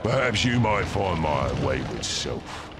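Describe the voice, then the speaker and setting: An actor playing an ancient stone construct in a video game, heavy and rumbling, speaking in a slow, solemn way. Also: male voice, very animated, full character voice. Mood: slow, puzzled. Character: ancient sleepy stone construct, huge and ponderous. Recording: music behind the voice.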